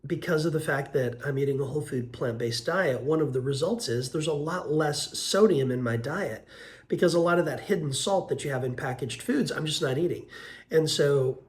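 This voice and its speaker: Hoarse man in his fifties. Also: fairly high pitch. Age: 50s. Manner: earnest, unhurried, frequent uh and um. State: hoarse from a cold. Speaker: vlogger. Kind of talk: casual weight-loss update vlog.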